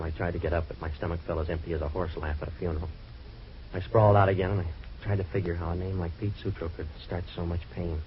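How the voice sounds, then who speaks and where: An actor playing a sardonic, wisecracking American private eye in an old-time radio detective drama, with a deadpan delivery. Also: male voice, low voice, close to an everyday voice. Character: hardboiled, wisecracking male private eye in trouble. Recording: dull, noisy vintage radio recording.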